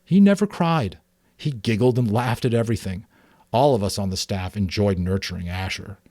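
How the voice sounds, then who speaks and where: Man reading as a gentle, playful storybook father. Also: lowish voice, lively intonation, normal speed, no echo, clean studio sound. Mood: fond.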